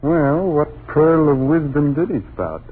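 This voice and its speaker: A man's voice: fairly high pitch, animated, slow pace, breathy edge, full character voice. An actor playing a flippant, dismissive young family's father, slangy and teasing, in vintage radio drama style. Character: breezy, wisecracking, dismissive husband and father. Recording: tinny, noisy old radio broadcast.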